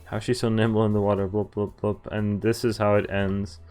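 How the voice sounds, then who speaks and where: A man's voice: lowish voice, flat delivery, a little fast. Playful male streamer over faint music. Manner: playful, reactive, acts out dialogue. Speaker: game streamer. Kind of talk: reading a visual novel aloud with casual commentary. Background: game music.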